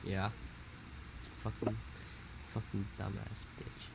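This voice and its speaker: A man's voice: lowish voice, monotone, takes it slowly, gravelly, darkish tone, slight background noise, poor audio. A young man co-hosting a casual podcast, talking in a rambling, profane, slangy way while losing his voice. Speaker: podcast co-host. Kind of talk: casual chat.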